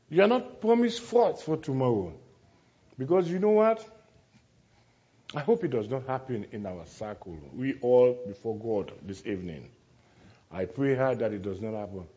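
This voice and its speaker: A man's voice: medium pitch, dark tone, highs cut off. A man preaching a sermon to a congregation, speaking emphatically, repeating phrases and putting rhetorical questions to his listeners.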